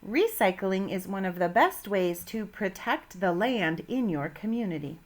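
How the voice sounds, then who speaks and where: A woman's voice: mid-range voice, big pitch swings, measured pace. A woman teacher giving a read-aloud science lesson to children, with simple, instructive phrasing addressed to her pupils. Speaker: elementary school teacher.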